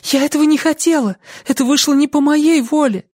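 A woman's voice: high-pitched, fairly quick, darkish tone, no room sound, studio quality, pitched above the performer's own voice, close to an everyday voice. A woman narrator voicing a desperate, weary young woman who pleads insistently with her beloved in an audiobook. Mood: pleading.

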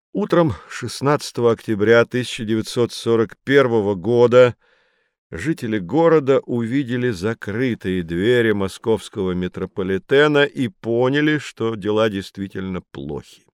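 A man playing a patient, earnest guide who explains things to children with deliberate emphasis in a scripted educational audio drama. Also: on the low side, expressive, smooth, dry recording, studio quality. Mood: grave, dramatic.

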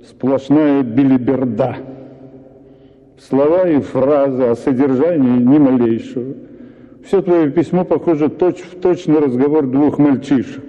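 Man lecturing in a tinny hall. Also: medium pitch. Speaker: actor reading a story. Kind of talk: radio drama reading. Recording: tinny radio broadcast with hall reverb.

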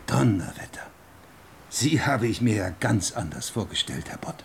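A brisk, businesslike man in a radio play. His voice is fairly low, over clearly noisy, clicking sound. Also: sing-song. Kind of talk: radio drama acting.

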